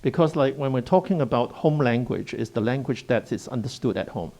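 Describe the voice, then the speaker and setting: A middle-aged man with a gravelly, mellow voice speaks on a panel. He explains emphatically and walks through his points one at a time, like a kindergarten educator making a case. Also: medium pitch, lively intonation, brisk. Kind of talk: panel discussion. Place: Hong Kong.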